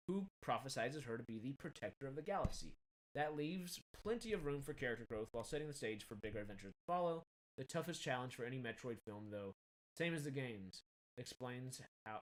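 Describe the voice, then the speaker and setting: Guy in his 30s w a slight texan accent, mid pitch, chatting film news, rambly and animated. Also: poor audio. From Texas. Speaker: film news show host. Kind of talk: casual commentary on film news, reading articles aloud.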